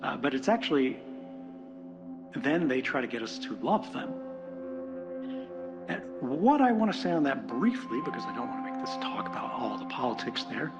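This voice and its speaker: A man speaks earnestly to a conference in a medium-pitched voice with wide, expressive swings. He is reflective and builds his points through repetition and rhetorical questions. Music plays clearly underneath. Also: moderate pace, long pauses, lo-fi sound. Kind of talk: political keynote speech. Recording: music bed behind speech.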